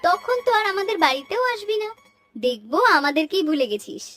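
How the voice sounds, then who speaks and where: A woman's voice: high-pitched, sing-song, a little fast, over music. A woman voicing an affectionate, hospitable village aunt in a cartoon, coaxing and teasing as she fusses. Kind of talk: Bengali cartoon dialogue.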